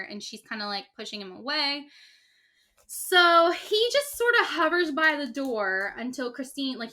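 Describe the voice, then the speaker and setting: Woman, podcast co-host, rambling casual retelling, bright tone. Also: fairly high pitch. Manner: rambling, many fillers, acts out quotes. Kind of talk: casual chat retelling a story.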